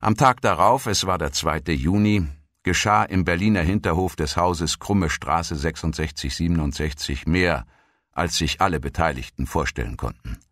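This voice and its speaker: A man's voice: low-pitched, fairly bright tone, dry recording, clean studio sound. A man reading scripted documentary narration.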